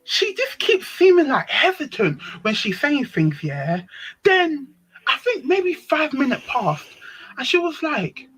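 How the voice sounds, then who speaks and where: A young woman with a deep voice, telling a story on a live panel stream in a slangy, dramatic way. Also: big pitch swings, measured pace, a bit bright, low-quality recording. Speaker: panel speaker.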